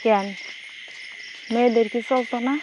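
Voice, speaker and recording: A woman's voice: on the high side, fairly even intonation, unhurried. A woman with a Bangladeshi accent chatting casually and intimately on the phone in short colloquial fragments. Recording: noisy phone call recording, insect-like hiss.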